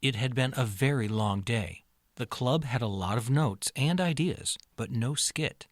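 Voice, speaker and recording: A man's voice: medium pitch, unhurried, no echo, studio quality. A man narrating a scripted children's story.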